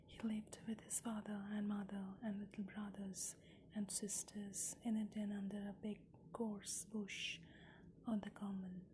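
A woman hosting an ASMR podcast, reading a children's story aloud in a soft whisper. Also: medium pitch, background noise. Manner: soft unvoiced whisper throughout. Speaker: podcast host.

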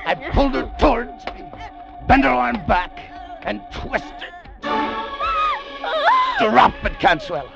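An American actor playing a wary, hard-boiled gold-hunting adventurer in an old radio drama, with clipped, tough, colloquial delivery. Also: male voice, very high-pitched, lively intonation, slow, creaky, pauses often, full character voice. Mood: strained, urgent. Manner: clipped, tough, colloquial first-person narration. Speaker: radio actor, lead and narrator. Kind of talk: 1940s-50s adventure radio drama acting. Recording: muffled vintage radio recording, music underneath.

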